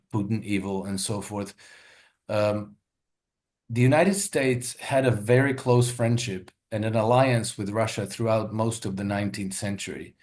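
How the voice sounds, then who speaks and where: A man's voice: lowish voice, a little mellow. A man who grew up in Croatia, a market analyst guest, explaining his views in an interview with frequent fillers and emphatic stresses. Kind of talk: interview conversation.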